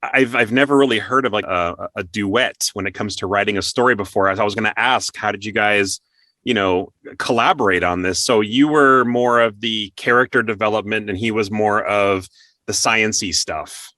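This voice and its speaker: Bright, forceful male show host. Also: fairly low pitch, moderate pace, no room sound. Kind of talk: scripted show intro and interview.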